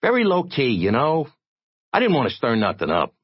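A man voicing a tiny, frantic, streetwise reporter with a squeaky, irritating little voice, in an audiobook. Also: wildly swooping pitch, normal speed, slightly rough, highs cut off. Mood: gossipy. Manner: streetwise slangy American talk.

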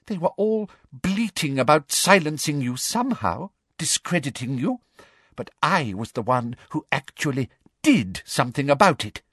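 A man voicing a prim, cruel middle-aged woman official in a story, with a breathy, agitated, self-justifying manner. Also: fairly high pitch, sing-song, normal speed, fairly bright tone, no echo, studio quality, pitched above the performer's own voice, full character voice. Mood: quiet menace.

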